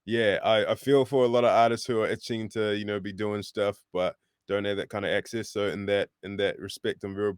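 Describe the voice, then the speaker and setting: Casting: thirty-year-old man from New Zealand, relaxed guest, lowish smooth voice, dry sound. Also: brisk, hardly any pauses, no echo. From born in England, raised in New Zealand. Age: 30s. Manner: relaxed, friendly, thoughtful. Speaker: rapper, guest. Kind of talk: casual interview over video call.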